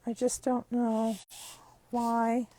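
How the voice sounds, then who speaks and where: A hoarse woman with a croaky voice, chatting upbeat through a card-making tutorial step by step. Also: on the high side, completely flat delivery, extremely slow, mellow, dry recording. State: hoarse, losing her voice. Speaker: craft demonstrator.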